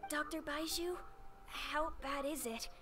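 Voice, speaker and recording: A woman's voice: sits high, slow, recorded from a distance, music in the background. A woman voicing a timid, earnest, worried young child in a video game.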